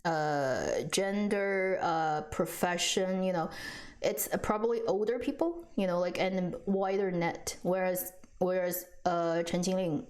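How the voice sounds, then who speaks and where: A woman's voice: fairly low pitch, measured pace, darkish tone. Chatty woman streamer, native Chinese speaker. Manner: chatty, frequent restarts, laughs often. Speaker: live stream host. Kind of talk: casual live-stream chat answering viewers.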